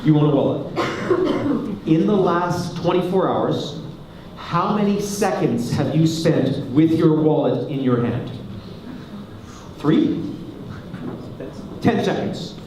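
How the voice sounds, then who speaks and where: A Canadian man speaks at a conference, lively and joking, and keeps putting questions to the crowd. His voice is mellow and a little high for a man. The sound comes from far off in a very echoey hall. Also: slow, long pauses, a little hiss or hum. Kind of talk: conference talk to a live audience.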